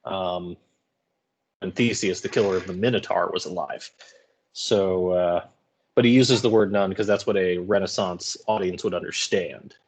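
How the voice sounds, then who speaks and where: A man's voice: low-pitched, fairly even intonation, moderate pace. A man speaking as an English teacher, giving informal lecture commentary in a colloquial, wry way.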